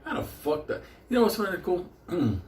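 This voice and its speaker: A man's voice: high voice, big pitch swings, brisk, a little mellow. A man whose first language is Spanish, telling rambling, profane stories in a casual, joking way. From Puerto Rico or a Puerto Rican community. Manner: rambling, heavy swearing, frequently breaking into laughter. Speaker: storyteller, host. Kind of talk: casual, profane comedic storytelling. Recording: roomy echo with faint background noise.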